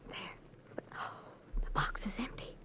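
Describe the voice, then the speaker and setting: A woman's voice: moderate pace, whispered, natural voice. An actress playing an anxious, questioning young woman in a 1940s radio detective drama. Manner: worried, questioning. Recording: muffled, noisy old radio recording.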